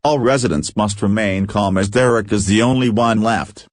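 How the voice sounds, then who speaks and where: A matter-of-fact television newsreader played by a man, reading a scripted bulletin. His voice sits fairly low, and the recording is close and dry, free of room sound. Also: normal speed, dry recording, close to an everyday voice.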